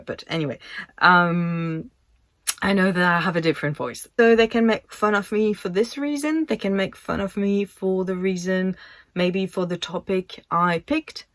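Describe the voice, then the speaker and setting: A woman's voice: on the low side, fairly bright tone, no room sound. A woman in her thirties with a French accent, talking casually to camera in English about sharing her work online, thoughtful and given to restarts. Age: 30s. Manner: slow, reflective, trails off and restarts. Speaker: vlogger.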